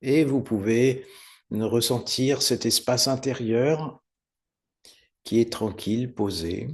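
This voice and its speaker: A man teaching guided meditation, giving unhurried, soothing step-by-step instructions with pauses. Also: mid-range voice. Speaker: yoga nidra meditation teacher.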